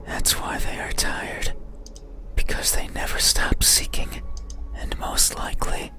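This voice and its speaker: Slow whispering monster, acted by a man. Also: close to an everyday voice. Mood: wistful, creepy. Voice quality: breathy whisper. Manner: slow, deliberate, poetic. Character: eerie whispering midnight monster with long clutching fingers. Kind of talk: horror visual novel monologue.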